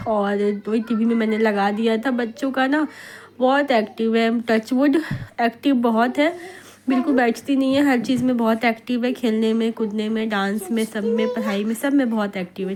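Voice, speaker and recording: Hoarse woman narrating her vlog. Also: a little high, a little mellow. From India. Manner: chatty, rambling, mixes English words into Hindi. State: hoarse with a cold. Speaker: vlogger. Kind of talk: casual voice-over narration.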